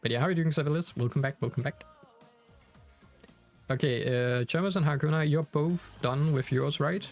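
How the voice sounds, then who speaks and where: A man's voice: fairly high pitch, moderate pace, long pauses, soft background music. A young man with a Danish accent, casually chatting with viewers on a game stream, full of fillers and restarts. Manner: chatty, many fillers and restarts, reads chat aloud. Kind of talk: casual live stream chat.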